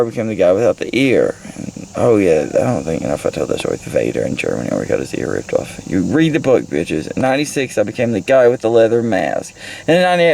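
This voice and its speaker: A man's voice: mid-range voice, gravelly, darkish tone, rough recording. An American man in his thirties giving a rambling, casual book report with heavy swearing and bits read aloud, recorded on a handheld camera in a car. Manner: crude, heavy swearing, irreverent, scattered. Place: inside a parked car. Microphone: handheld phone or camera at arm's length. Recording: handheld recording with faint background noise.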